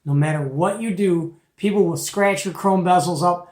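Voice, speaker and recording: A man's voice: high-pitched, moderate pace, darkish tone. A middle-aged man giving a casual, folksy and opinionated product show-and-tell as the host. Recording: echoey, roomy home recording.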